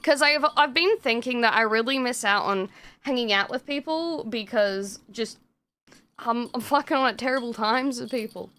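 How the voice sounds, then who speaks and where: A woman's voice: fairly high pitch, normal speed, pushed and bright. A young woman streamer chatting casually with viewers, swearing freely and laughing often. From Australia.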